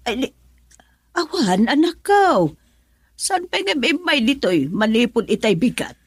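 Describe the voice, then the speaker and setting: Woman as older Ilocano mother: slow, husky, lilting, gentle. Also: a little high, very animated, no room sound, full character voice. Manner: hesitant, soothing. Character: older mother, worried and soothing. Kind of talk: radio drama acting.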